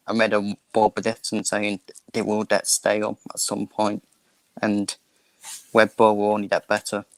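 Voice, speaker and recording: A man co-hosting a livestream, giving casual race commentary and opinions in a halting way. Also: fairly low pitch, creaky, a bit bright, no echo. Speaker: livestream co-host.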